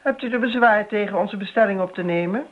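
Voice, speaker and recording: A woman's voice: mid-range voice, fast talker, close to an everyday voice. An actress playing a brusque, domineering older woman who speaks curtly and cuttingly in a radio play. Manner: curt, cutting, commanding. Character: brusque, busy, sharp-tongued older woman, domineering friend. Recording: tinny, noisy old radio play recording.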